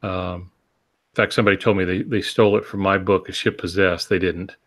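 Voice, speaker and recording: A man with a fairly low voice talks as a co-host in a casual panel discussion. He explains through examples, feeling his way forward in a halting, measured manner. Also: little pitch movement, a bit bright. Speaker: podcast co-host.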